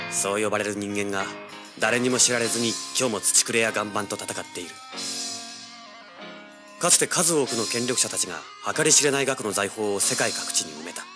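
A man acts a teenage treasure-hunting hero in an audio drama, hard-boiled and sure of himself. The voice sits on the low side. Music plays clearly behind the dramatic first-person delivery. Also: natural voice. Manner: self-assured, hard-boiled. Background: background music.

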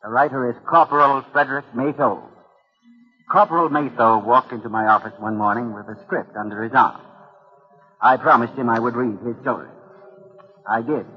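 A dull, lo-fi vintage broadcast of a man introducing radio drama. His voice is mid-pitched, and he narrates in formal, scripted 1940s style. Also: fairly even intonation, moderate pace, husky, faint music behind. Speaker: host of a radio mystery series.

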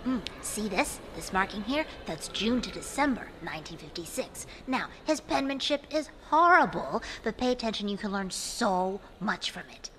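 A woman's voice: mid-range voice, expressive, moderate pace, hardly any pauses, a bit bright, close to an everyday voice. A woman playing an eager, exacting museum director and scholar, speaking emphatically in an audio drama interview. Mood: intent, excited. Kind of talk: acted documentary interview. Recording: echoey hall with background noise.

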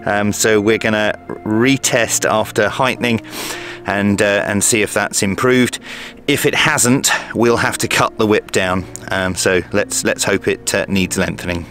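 Low-pitched man explaining a hobby task. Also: steady tone, measured pace, a bit bright, soft background music. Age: middle-aged. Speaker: radio hobbyist presenter. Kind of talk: casual tutorial explanation.

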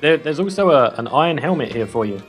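A man plays an easygoing speedy young superhero in a game roleplay. He talks quickly and casually in a slightly high voice, with a long reverberant tail on the sound. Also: expressive, faint music behind, natural voice. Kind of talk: improvised Minecraft roleplay, casual talk.

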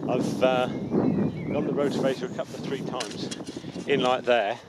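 A middle-aged man giving a casual, unhurried, matter-of-fact gardening vlog demonstration in a rambling way. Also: mid-range voice, level tone. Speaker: allotment gardener presenting own video. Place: outdoors on an open allotment plot. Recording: outdoor background noise with birdsong.

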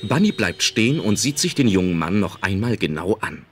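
A woman's voice: very deep voice, sing-song, brisk, a little hiss or hum. A woman reading scripted narration as the storyteller of a radio play.

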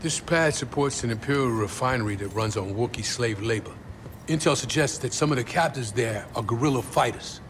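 An actor playing a middle-aged rebel leader in a video game, terse, fierce and authoritative. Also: male voice, medium pitch, a little fast, a bit bright, a little hiss or hum, lightly acted. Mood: businesslike, urgent. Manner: terse, authoritative, declamatory when rallying. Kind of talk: cinematic video game acting.

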